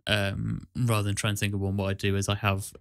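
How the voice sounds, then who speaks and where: Low-voiced man chatting in an even tone. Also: a little fast, gravelly, no room sound, clean studio sound. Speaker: podcast host and amateur voice actor. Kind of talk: casual podcast chat.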